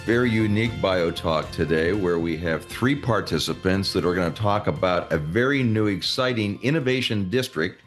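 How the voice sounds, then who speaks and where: A man hosting a podcast, introducing his guests in an orderly, conversational way. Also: fairly low pitch. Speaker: podcast host. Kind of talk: scripted-sounding podcast introduction.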